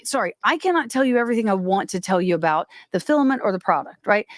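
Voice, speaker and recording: A woman's voice: mid-range voice, no room sound, studio quality. A woman hosting a casual livestream, talking in a rambling, self-interrupting way. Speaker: livestream host.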